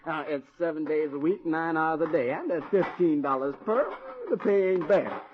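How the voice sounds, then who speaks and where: An actor playing a bossy but good-hearted hotel head waiter with folksy, needling talk, in an old-time radio drama. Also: male voice, a little high, normal speed, noisy background, lightly acted. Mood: brisk, instructing. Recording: muffled vintage radio recording.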